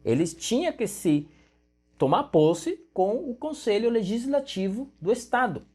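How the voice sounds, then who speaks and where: A man's voice: high voice, big pitch swings, a little slow, a little mellow, slightly rough, soft background music. A young man from Venezuela with a Venezuelan Spanish accent, a native Spanish speaker, giving emphatic political commentary straight to the viewer.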